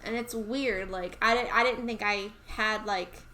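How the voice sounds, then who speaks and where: A woman's voice: medium pitch, fairly even intonation, a little slow, bright, forceful tone, slight background noise. A woman with a Southern US accent, chatting casually to herself as she streams a game. She rambles and is easily amused.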